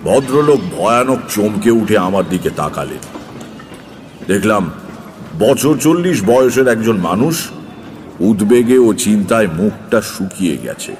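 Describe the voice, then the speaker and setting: An actor playing a seasoned tantric sage who tells eerie true tales in a measured, unhurried way for an audio drama. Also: male voice, lowish voice, animated, a little mellow, big-room sound. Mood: grave, reflective. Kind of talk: dramatised audio story narration. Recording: faint background noise.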